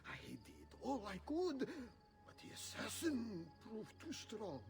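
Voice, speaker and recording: A man's voice: high voice, huge pitch swings, slow pace, far from the mic, music in the background, exaggerated voice. A man playing a cowardly, blame-shifting conspirator in a video game cutscene, whining, fearful and deferential. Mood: defensive. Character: cowardly, blame-shifting young male conspirator, pleading underling.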